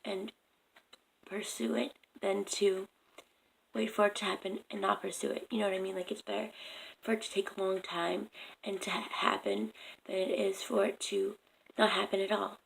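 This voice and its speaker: A young woman vlogging at home on her phone camera, speaking softly in a raspy, half-whispered morning voice. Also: mid-range voice, little pitch movement, normal speed, a little hiss or hum, rough recording. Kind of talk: casual advice vlog.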